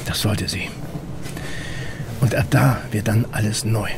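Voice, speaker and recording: An actor playing a guarded middle-aged investigator in an audio drama, explaining things in a terse, matter-of-fact way. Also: male voice, lowish voice, a little slow, gravelly, background noise. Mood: measured, guarded. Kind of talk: German audio drama dialogue.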